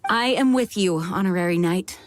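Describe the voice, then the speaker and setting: A woman's voice: fairly low pitch, moderate pace, background noise, lightly acted. Resolute, formal lady knight, played by a woman. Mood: earnest. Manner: formal, earnest. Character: noble, dutiful, resolute female knight commander. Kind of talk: video game voice acting. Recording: music under the voice.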